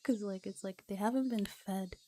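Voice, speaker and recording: Mellow, soft-spoken woman streamer. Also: medium pitch, moderate pace. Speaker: streamer reading visual novels aloud. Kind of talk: relaxed casual livestream chat, ASMR.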